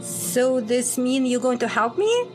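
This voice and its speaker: A woman acting as a brash female secret agent on the run, with a high-pitched voice and a sardonic, sharp tongue. She speaks bluntly in audio drama dialogue, with faint music behind her. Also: sing-song, unhurried. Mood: smug.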